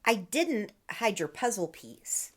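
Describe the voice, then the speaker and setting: An actress playing a calm, puzzled wife who won't be drawn into her husband's fuss. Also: mid-range voice. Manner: slow and unbothered.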